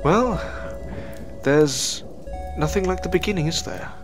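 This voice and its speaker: A man's voice: a little high, a little slow, lightly acted. Man acting a weary, earnest adventurer, faint music behind. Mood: wistful. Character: weary, bewildered male adventurer returned from limbo, reflective and sincere. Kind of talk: audio drama acting.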